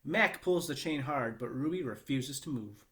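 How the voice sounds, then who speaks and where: A man giving an expressive read-aloud narration of a children's novel. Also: mid-range voice, moderate pace.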